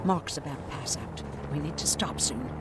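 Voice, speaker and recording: An actress playing a curt, sarcastic survivor-camp doctor in a video game. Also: female voice, deep voice, moderate pace, vocal fry, noisy background. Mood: concerned. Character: curt, sardonic female doctor guarding scarce supplies. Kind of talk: cinematic video game acting.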